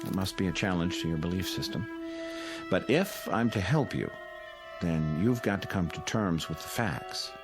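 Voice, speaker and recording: Deep-voiced, condescending psychiatrist. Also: male voice, animated, over music. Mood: patient probing. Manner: measured, patronizing, intellectual. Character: calm, condescending male psychiatrist at a mental institution. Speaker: actor.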